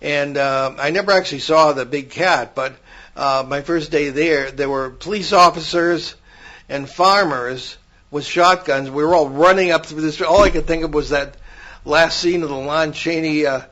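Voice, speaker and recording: Older guy on a paranormal podcast, kinda high voice, rambles and restarts a lot, dull muffled audio. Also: moderate pace, bright, forceful tone. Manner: rambling, many restarts, storytelling. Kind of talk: casual chat.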